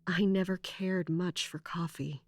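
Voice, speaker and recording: Slow, reflective weary soul. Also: male voice, sits high, soft background music. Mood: calm, reflective. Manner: calm, drowsy, contemplative. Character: weary reflective soul seeking a quiet life. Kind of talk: first-person monologue in audio drama.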